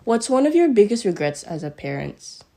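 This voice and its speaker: A woman's voice: medium pitch, sing-song, moderate pace, dark tone. Female interviewer; plain, straightforward question delivery. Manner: reads prepared questions plainly. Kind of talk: family interview.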